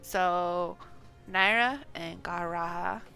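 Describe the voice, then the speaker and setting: A woman game streamer reading story dialogue aloud and commenting, halting over unfamiliar words. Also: on the low side, vocal fry, pushed and bright, faint music behind. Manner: narrates action between acted lines, halting on unfamiliar words.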